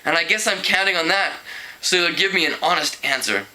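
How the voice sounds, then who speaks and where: Teenage girl, acted by a man, storybook dialogue, nervous, wistful, hesitant pleading, sharp bright tone. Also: a little high, expressive, brisk, low-quality recording.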